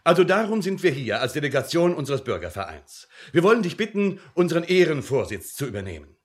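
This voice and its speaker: A man's voice: fairly high pitch, very animated, brisk. An actor playing a pompous older father-in-law and town official in a radio drama, speechifying and fond of proverbs. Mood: pompous, ceremonious. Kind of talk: German radio drama acting.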